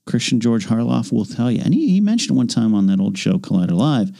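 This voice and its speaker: A man's voice: medium pitch, animated, fairly quick, vocal fry, mellow. A man co-hosting a casual podcast, talking in a rambling, self-deprecating way with many restarts. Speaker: podcast co-host. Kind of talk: casual chat.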